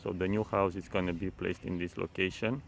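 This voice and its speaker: A man's voice: sits low, fairly even intonation, fairly quick, creaky, slight background noise. Romanian-accented man vlogging outdoors. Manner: chatty, repeats words while talking. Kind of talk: casual vlog walkthrough. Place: construction site outdoors.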